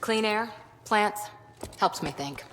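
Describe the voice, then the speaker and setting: A woman's voice: mid-range voice, lively intonation, slow pace, a bit bright, slight background noise. An actress playing a tough, blunt ex-commando woman in a video game, with a wry manner. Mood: guarded, reflective. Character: tough, blunt female human ex-commando soldier. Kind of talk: video game cutscene acting. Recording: long hall reverb.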